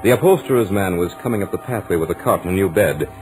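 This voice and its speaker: Studious young gentleman, low voice, measured literate narration, self-assured, vintage radio drama, faint music. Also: animated. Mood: composed, amused.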